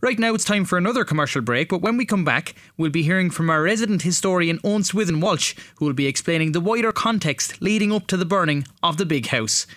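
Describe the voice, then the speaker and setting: A man's voice: sits high, lively intonation, quick pace, few pauses, a bit bright, no echo, clean studio sound. An Irish man presenting a radio programme in a scripted, conversational host style. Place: radio studio.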